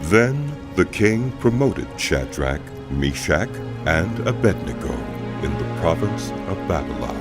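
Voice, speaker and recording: A man's voice: low voice, big pitch swings, measured pace, hardly any pauses, loud music behind the voice. A man narrating a dramatized audio Bible with solemn, emphatic storytelling.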